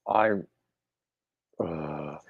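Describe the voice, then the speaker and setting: Male stream host, unhurried and rambling, gravelly lower voice, a bit congested, dull home-mic sound. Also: no echo. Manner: rambling, relaxed, reads viewer comments aloud. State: slightly congested throat. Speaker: livestream host. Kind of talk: casual chat with live viewers.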